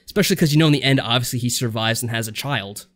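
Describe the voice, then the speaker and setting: Male podcast co-host, average-pitched voice, informal and a little hesitant, recorded on a remote call. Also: racing, a bit bright. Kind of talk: casual podcast chat.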